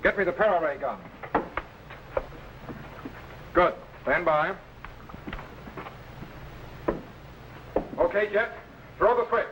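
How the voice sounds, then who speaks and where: An actor playing a resolute time-travelling captain who gives authoritative orders in an old sci-fi serial. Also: male voice, high-pitched, animated, brisk, dull audio. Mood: urgent, commanding. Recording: noisy old broadcast recording.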